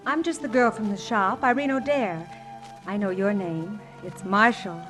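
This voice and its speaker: A woman's voice: medium pitch, moderate pace, over music, lightly acted. An actress playing a naive, dreamy young working-class shop girl in a 1950s musical radio drama, speaking in a wistful, guileless, gushing way. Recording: old 1950s radio broadcast.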